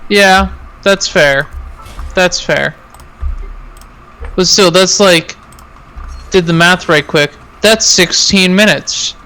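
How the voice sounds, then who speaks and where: A man's voice: high-pitched, slow pace, long pauses, sharp tone, clear, resonant tone, soft background music. A man chatting casually on a game stream, rambling and often repeating himself. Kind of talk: casual gaming chat.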